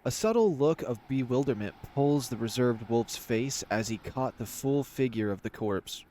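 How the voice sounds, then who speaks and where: A man's voice: medium pitch, expressive, normal speed, dark tone, a little hiss or hum. A man reading scripted fantasy story narration as the narrator of a visual novel.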